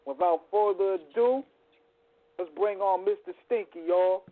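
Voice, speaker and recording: A man's voice: high-pitched, unhurried. A man hosting a casual internet radio show, greeting listeners and reading sponsors in a hype, slangy way. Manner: hype, slangy, shout-outs. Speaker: podcast host. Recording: tinny phone-like audio.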